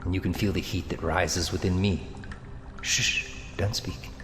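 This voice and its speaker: A man's voice: low-pitched, steady tone, a little slow, background noise. An actor playing a menacing, amorous male villain in an audio drama, speaking in a theatrical, taunting and seductive way. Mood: seductive menace. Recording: long cavernous reverb with music underneath. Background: dramatic music.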